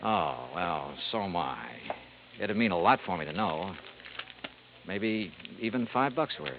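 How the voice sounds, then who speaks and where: A man's voice: sits low, animated, unhurried, background noise. An American man playing a terse, wry insurance investigator who narrates his case in 1950s radio noir style. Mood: probing. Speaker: detective narrator. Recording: muffled vintage radio recording with music.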